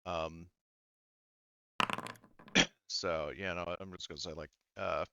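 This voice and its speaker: A man running an online tabletop roleplaying game as game master, talking haltingly as he thinks aloud through the rules. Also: low voice, steady tone, vocal fry, muffled recording, very noisy.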